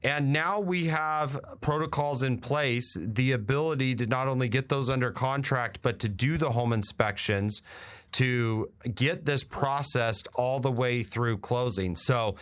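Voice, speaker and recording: A man's voice: mid-range voice, measured pace, a bit bright, clean tone. An American man hosting a radio show, explaining industry updates conversationally with emphatic repetition. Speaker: radio show host and real estate agent.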